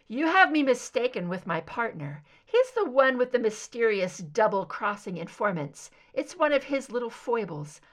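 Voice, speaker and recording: A woman's voice: a little high, very animated, moderate pace, hardly any pauses. A hard-edged, defiant female federal agent acted out by a woman narrator fires back with blunt sarcasm. Her voice swings animatedly as she challenges her captor, in the style of a thriller audiobook. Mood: cool sarcasm.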